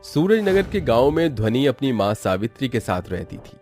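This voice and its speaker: A man's voice: mid-range voice, sing-song, normal speed. A man narrating a scripted story in a dramatic storytelling style. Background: background music.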